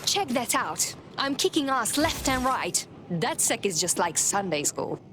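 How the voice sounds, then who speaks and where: A woman's voice: fairly high pitch, very animated, measured pace, over music. A woman playing a tough, cocky hacker operative in a video game, speaking bluntly and sardonically.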